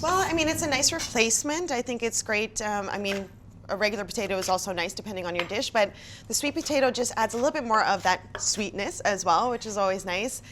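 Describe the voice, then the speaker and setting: A woman's voice: medium pitch, quick pace, fairly bright tone, slight background noise. Upbeat woman hosting a kitchen show. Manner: upbeat, chatty, asks guests questions. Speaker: host of cooking workshop. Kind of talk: casual hosted cooking show.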